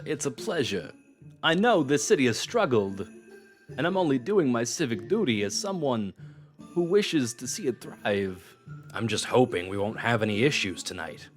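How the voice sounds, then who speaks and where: A man playing a formal, measured man of power in a superhero audio drama. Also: mid-range voice, natural voice. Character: formal, civic-minded men of power in a city.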